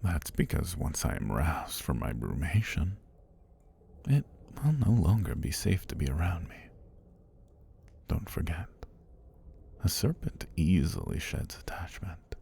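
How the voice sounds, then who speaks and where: A man performs an ancient male serpent god with a low, dark voice. He speaks in formal, whispery phrases that rise and fall, intimate as ASMR. He is calm and tender, with a predatory edge. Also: exaggerated voice. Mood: softly menacing. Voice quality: husky, breathy low voice. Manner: slow, deliberate, formal speech with whispery delivery. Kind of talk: intimate ASMR roleplay monologue.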